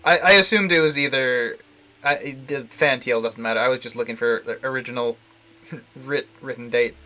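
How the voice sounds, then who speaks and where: A man giving casual live playthrough commentary, wry and halting, often restarting his phrases. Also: medium pitch, pushed and bright. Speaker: streamer playing a visual novel.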